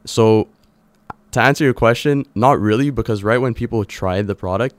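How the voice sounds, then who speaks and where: A man's voice: medium pitch, moderate pace, dry recording. A man speaking conversationally as an entrepreneur guest in a radio interview. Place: radio studio.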